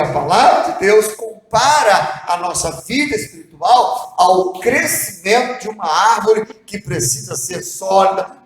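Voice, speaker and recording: A man's voice: high voice, roomy sound, poor audio. A man preaching a devotional sermon as pastor, earnest and asking the listener rhetorical questions. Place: church hall.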